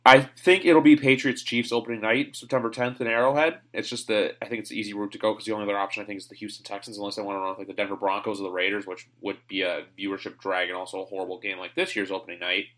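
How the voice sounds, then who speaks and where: A man's voice: fairly low pitch, flat delivery, racing, hardly any pauses, fairly bright tone. Chatty man hosting a sports podcast. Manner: chatty, rambling, opinionated. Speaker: podcast host. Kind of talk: casual sports chat.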